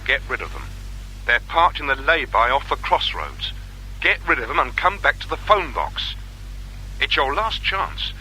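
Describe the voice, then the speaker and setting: An actor playing a cold kidnapper in a radio drama, making curt threats. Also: male voice, mid-range voice, big pitch swings, moderate pace, pushed and bright, slight background noise, heavily acted, poor audio. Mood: menacing. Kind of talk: British radio drama acting.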